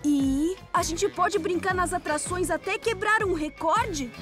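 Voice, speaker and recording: A woman's voice: very high-pitched, measured pace. A woman voicing a cheeky, competitive young motorbike in a Brazilian children's cartoon dub. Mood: excited. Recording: music behind the voice.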